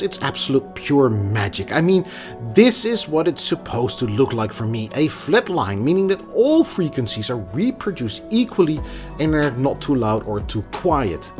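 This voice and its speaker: A man presenting a product review in a conversational, emphatic way, occasionally stumbling over a word. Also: mid-range voice. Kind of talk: scripted product review with casual asides.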